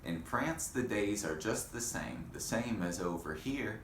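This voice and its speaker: A man teaching young children in a read-aloud lesson, speaking in simple, deliberate phrases and putting questions to them. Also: lowish voice, animated. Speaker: elementary school teacher. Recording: echoey room with faint background noise.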